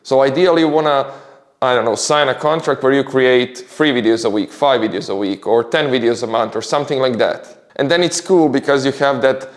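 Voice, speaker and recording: A man's voice: medium pitch, brisk. Man giving upbeat career tips. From Croatia. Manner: upbeat, explanatory, enthusiastic. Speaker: creator giving career advice. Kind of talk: casual advice monologue.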